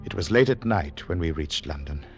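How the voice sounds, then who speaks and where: An actor playing a weary, guarded agent on the run, in 1940s radio thriller style. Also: male voice, low-pitched, animated, moderate pace, over loud music. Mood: brooding narration. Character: weary, wary male foreign confidential agent, on the run. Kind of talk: 1940s radio acting, first-person thriller narration. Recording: noisy old 1940s radio broadcast.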